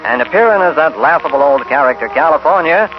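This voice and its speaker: A man's voice: on the high side, lively intonation, fast talker. A man narrating a 1950s radio western as its announcer, in a dramatic, emphatic scripted style. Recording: dull vintage radio broadcast with music. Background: music.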